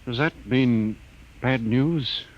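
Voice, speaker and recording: A noisy old radio drama recording of a spaceship passenger , acted by a man. His voice has an ordinary middle pitch and sounds polite and uneasy. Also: slow, lo-fi sound, lightly acted. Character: civilian male passenger aboard a spaceship, uneasy but polite. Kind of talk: 1950s science fiction radio acting.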